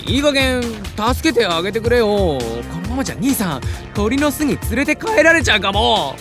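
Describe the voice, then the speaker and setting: A carefree, adventurous boy in an anime-style audio drama, the easygoing younger brother. The speech is casual and boyish with lively, wide-moving intonation, over clearly audible music. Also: mid-range voice, a little fast. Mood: surprised, mildly concerned.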